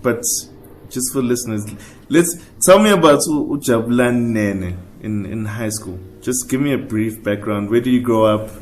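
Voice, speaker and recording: A man's voice: mid-range voice, normal speed, soft background music. A man hosting a podcast, asking loose, upbeat questions with a joking manner. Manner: upbeat, jokey, asks loosely strung questions. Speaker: podcast host. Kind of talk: casual interview. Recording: echoey room with faint ambience.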